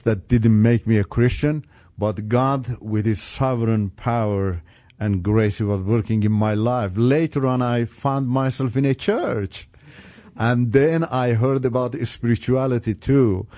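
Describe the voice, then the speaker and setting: A middle-aged man from Iran, an English speaker, sharing his story as a radio guest in an earnest, halting way with many restarts. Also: mid-range voice, dark tone, no echo, studio quality. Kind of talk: personal testimony on radio. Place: radio studio.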